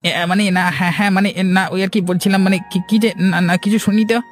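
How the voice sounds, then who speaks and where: A man's voice: high-pitched, fairly even intonation, fairly quick, faint music behind, close to an everyday voice. An actor playing a nervous, guilty village henchman in a cartoon, hesitant and evasive. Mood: uneasy, evasive. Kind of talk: cartoon drama acting.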